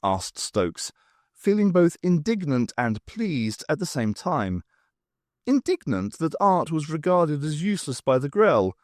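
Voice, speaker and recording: A man reading scripted fiction aloud in an expressive, dramatic storytelling manner. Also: fairly high pitch, no room sound, clean studio sound. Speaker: audiobook narrator. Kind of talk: scripted fiction narration with character voices.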